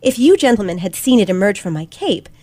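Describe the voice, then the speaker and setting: A woman playing a confident, sharp teenage girl detective in an old-fashioned radio play, with precise, theatrical delivery. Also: mid-range voice, big pitch swings, fairly quick, dry recording. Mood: triumphant, emphatic. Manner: theatrical, precise, dramatic emphasis.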